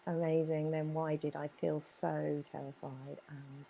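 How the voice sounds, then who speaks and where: A woman's voice: low-pitched, flat delivery, creaky, warm tone, slightly rough. A woman from Chile with a Chilean Spanish accent, telling her life story earnestly in an interview. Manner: earnest, emphatic storytelling with dramatic pauses. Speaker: guest business owner.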